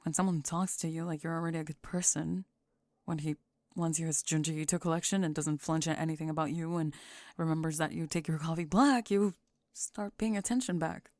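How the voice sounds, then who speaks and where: A woman acting a sardonic goth high school girl with a low voice. Her delivery is deadpan and pointed, guarded about her softer side. It is an ASMR roleplay with a very dry sound. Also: a little fast, dry recording, natural voice. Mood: guarded, defensive.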